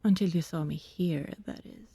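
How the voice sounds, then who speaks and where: A woman playing an anxious, lovelorn vampire neighbor in an ASMR roleplay, speaking to the listener in halting, secretive, coaxing rambles that slip into whispers. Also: low-pitched, mellow, dry recording. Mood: nervous reasoning. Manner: halting, rambling, breathy asides dropping into whispers.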